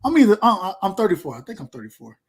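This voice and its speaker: Southern guy in his 30s, kinda high voice, slangy cussing podcast chat, says stuff twice for emphasis. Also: very animated, racing, slightly rough. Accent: Southern US, Georgia. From rural Georgia, USA. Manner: emphatic, repeats phrases, slangy and profane. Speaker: podcast speaker. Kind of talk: casual chat.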